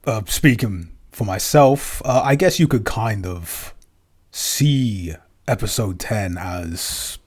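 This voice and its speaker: A man's voice: fairly low pitch, lively intonation, slow pace, darkish tone. A British man, producer of a podcast, chatting casually with dry jokes and thoughtful asides. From Britain. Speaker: podcast producer, co-writer and composer.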